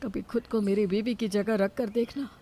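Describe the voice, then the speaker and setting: A woman's voice: medium pitch, fairly even intonation, normal speed, mellow, lightly acted. A woman voicing a protective husband in a story, earnest, reproachful and admonishing. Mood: hurt, reproachful. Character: reproachful, protective elder husband defending his wife.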